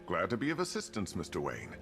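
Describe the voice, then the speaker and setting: An actor playing a genial older tech inventor in a video game, courteous and dryly playful, with wry loyalty. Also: male voice, low voice, huge pitch swings, fast talker. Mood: warm, wry. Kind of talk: video game cutscene acting. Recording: game music behind the voice.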